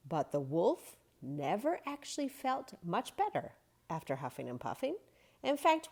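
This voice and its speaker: Woman reading to kids aloud, slow and gentle, very lively pitch. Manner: gentle, expressive, unhurried. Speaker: storybook reader. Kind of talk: children's story read-aloud.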